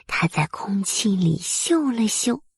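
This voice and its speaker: A woman narrating a bedtime story for small children in a soft, breathy voice, with gentle, soothing and careful phrasing. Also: mid-range voice, sing-song, slow, dry recording.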